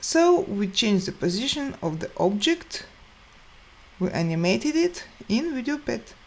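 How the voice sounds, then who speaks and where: A woman hosting a step-by-step software tutorial, speaking in short, halting phrases with pauses. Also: on the high side, sing-song. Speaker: tutorial host.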